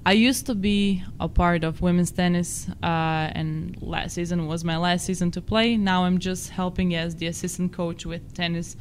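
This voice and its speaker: A woman's voice: lowish voice, a little hiss or hum. Croatian-accented young woman, chatty. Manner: chatty, self-deprecating, non-native phrasing. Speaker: podcast co-host. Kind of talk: casual chat.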